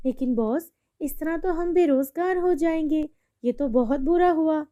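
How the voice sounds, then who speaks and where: Lady playing a polite earnest young woman, cartoon story, high voice but not sharp. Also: a little slow. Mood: worried. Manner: pleading, polite. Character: earnest young working woman in a folk tale, polite and worried. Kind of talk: acted dialogue in an animated moral story.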